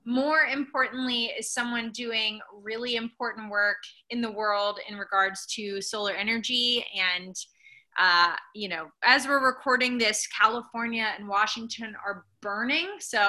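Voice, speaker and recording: A woman host with a very bright, sharp-edged voice pitched a little high. She rambles affectionately, piling on fillers and restarts, in relaxed conversation. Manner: warmly gushing, rambling, many fillers. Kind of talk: casual interview chat.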